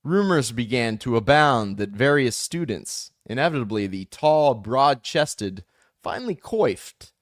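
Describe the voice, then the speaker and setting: A man reading a first-person memoir aloud as an audiobook, in a measured, steady way with lifts for emphasis. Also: medium pitch, no room sound, clean studio sound. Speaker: audiobook reader.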